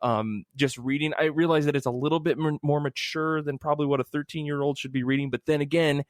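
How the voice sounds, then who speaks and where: A man's voice: a little high, fairly even intonation, fast talker, no room sound, clean studio sound. A man in his thirties hosting a podcast and chatting casually. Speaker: podcast host. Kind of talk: casual comics podcast chat.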